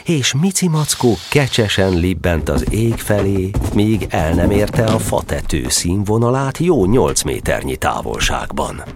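A man narrating a children's story from a script in an expressive storytelling manner. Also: low voice, few pauses, background noise.

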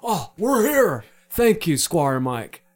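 A man's voice: on the high side, sing-song, takes it slowly, full character voice. A man playing a drunken, dramatic knight whose grand, pompous phrasing keeps slipping into casual slang.

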